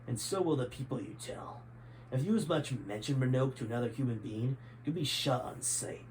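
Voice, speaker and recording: A man's voice: medium pitch, moderate pace, darkish tone, lightly acted, low-quality recording. A man reads as a scowling male schemer from an adventure storybook. He sounds strained and short-tempered, with menace in his words, over faint background noise. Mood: menacing.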